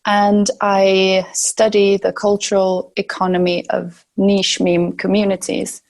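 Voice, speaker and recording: A woman's voice: medium pitch, completely flat delivery, takes it slowly, gravelly, a little mellow. Thoughtful young woman, hesitant interviewee. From Turkey, grew up in the Balkans. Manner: thoughtful, hesitant, searching for words. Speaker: podcast guest, sociology researcher. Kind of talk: conversational interview answers.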